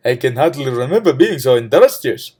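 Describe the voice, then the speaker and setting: A man's voice: mid-range voice, big pitch swings, a little fast, a little mellow, lightly acted. A man voicing a cheerful, brash fool in a folk tale, calling out in exuberant greetings. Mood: delighted. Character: cheerful, brash young fool hailing strangers.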